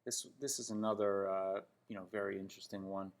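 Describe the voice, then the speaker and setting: Low-voiced Canadian man teaching English. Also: level tone, a little fast. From Toronto, Canada. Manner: emphatic, didactic, checks in with tag questions. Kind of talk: spoken English lesson critiquing example sentences.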